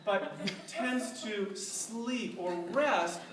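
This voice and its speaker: A middle-aged speaker telling a tall tale to a live audience in a deliberate, mock-scholarly way with dramatic pauses. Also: medium pitch, lively intonation, far from the mic. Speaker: speaker giving a humorous club speech. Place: indoor room with live audience. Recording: echoey room from afar, faint noise.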